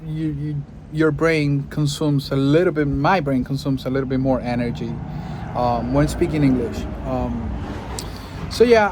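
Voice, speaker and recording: A man's voice: medium pitch, darkish tone, a little hiss or hum. A man, a Spanish speaker, musing thoughtfully in a casual monologue to camera and often searching for his words. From Venezuela. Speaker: podcaster and video creator.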